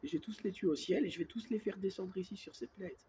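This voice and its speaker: A somewhat high-voiced man with a stuffy head cold speaks quietly into a phone held close, in a rambling, repetitive monologue. A faint hiss sits under his voice. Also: fast talker. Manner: speaks softly on purpose; rambling, repeats filler words constantly. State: has a cold, congested and coughing. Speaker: self-filmed vlogger. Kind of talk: casual monologue to camera.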